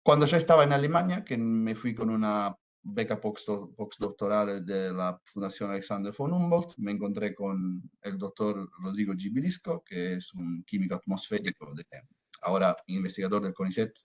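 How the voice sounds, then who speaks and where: A man's voice: lowish voice, moderate pace. A man from southern Italy speaking Spanish with an Italian accent, giving a hesitant conference talk over a video call. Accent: Italian, from Salerno, speaking Spanish with Argentine touches. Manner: hesitant, many fillers and restarts. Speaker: researcher and lecturer presenting.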